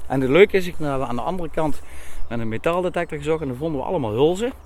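A man acting as a local guide outdoors, explaining things off the cuff in a halting way, often repeating and rephrasing himself. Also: a little high, a little mellow. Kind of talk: unscripted explanation on location. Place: outdoors at a forest edge.

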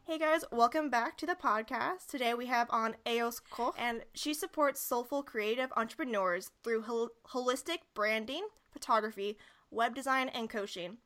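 A woman's voice: a little high, normal speed, a bit bright, soft background music. A woman hosting a podcast, chatting casually and gushing with praise for her guest. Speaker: podcast host. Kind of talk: casual interview chat.

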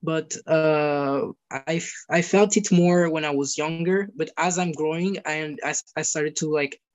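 A young man, a guest talking casually in an interview and often pausing with fillers while he searches for words in a language that is not his first. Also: a little high, fairly even intonation. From Italy. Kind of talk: casual conversation.

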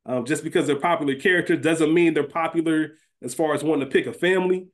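A man's voice: a little high, fast talker. Casual man co-hosting a podcast. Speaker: podcast co-host. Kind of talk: casual podcast discussion of a TV series.

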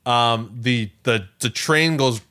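A man co-hosting a podcast, chatting casually in an emphatic, animated way. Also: mid-range voice, takes it slowly, clear, resonant tone. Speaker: podcast co-host.

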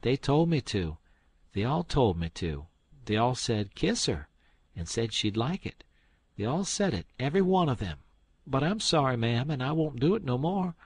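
A man voicing a cheeky, smooth-talking teenage boy who acts overly polite and innocent. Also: medium pitch, big pitch swings, moderate pace, dry recording, pitched above the performer's own voice. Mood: sheepish.

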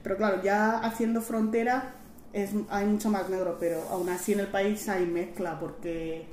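A woman's voice: medium pitch, flat delivery, moderate pace, a little mellow. A woman in her thirties from Madrid with a Madrid Spanish accent, chatting as an interview guest, full of fillers and asides. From Madrid, Spain. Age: 30s. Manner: chatty, lots of fillers and "¿sabes?", acts out quoted voices. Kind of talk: casual conversational interview. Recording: roomy with faint ambient noise.

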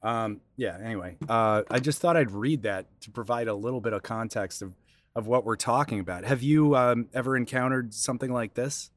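A man's voice: medium pitch, a little fast. A man hosting a podcast, talking conversationally as he interviews a guest. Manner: conversational, many fillers and restarts. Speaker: podcast host. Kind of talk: casual interview, reading an article aloud.

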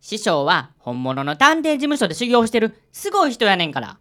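A woman's voice: medium pitch, sing-song, fairly quick, fairly bright tone. A woman playing an indignant, loyal young schoolboy with a Kansai accent in a radio drama. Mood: proud.

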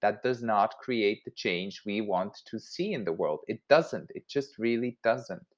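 A man from Switzerland giving an informal motivational talk as an activist, speaking in a conversational way. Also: fairly low pitch. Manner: conversational, acts out imagined dialogue.

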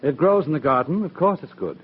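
A man's voice: on the high side, big pitch swings, a little fast, a little hiss or hum. An actor playing Adam, a proud and stubborn young first man, in a 1960s radio drama. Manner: proud, declaiming. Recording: dull old radio recording with music.

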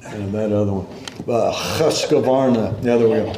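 A man preaching a sermon in an unhurried, folksy way, quoting scripture as he goes. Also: on the low side, warm tone, roomy sound, a little hiss or hum.

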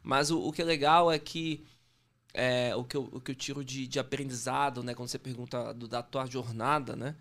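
A man's voice: mid-range voice, fairly even intonation, moderate pace, a bit bright. A man in his thirties with a Bahian Brazilian accent, chatting casually as an interview guest. He talks informally, with slang and frequent self-corrections. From Salvador, Bahia, Brazil. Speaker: podcast guest, sales consultant. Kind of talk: casual interview chat, telling career story.

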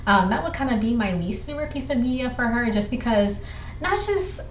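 A woman's voice: fairly high pitch, lively intonation. A young woman from southwestern Georgia with a Southern accent, hosting a casual solo podcast and rambling through listener questions with lots of fillers. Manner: rambling, chatty, filler-heavy, quick bursts. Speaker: podcast host. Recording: echoey room with faint background noise.

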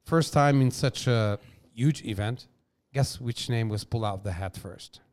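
Smooth-voiced man telling stories. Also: medium pitch, normal speed. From Portugal. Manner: animated storyteller, non-native phrasing. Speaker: pizza chef guest. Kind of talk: conversational interview storytelling.

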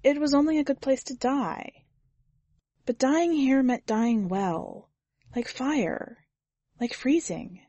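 A woman's voice: high voice, slow pace, mellow, dry recording, highs cut off, voice pushed up, exaggerated voice. A woman voicing a resigned young woman in a story, solemn and insistent, serene about dying. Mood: solemn, fervent.

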